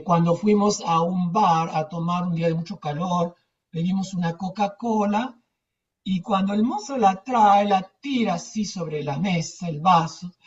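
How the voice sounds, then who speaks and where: Low-voiced older Argentine woman, distant muffled sound. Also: a little mellow. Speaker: theatre teacher. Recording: distant, dull muffled microphone.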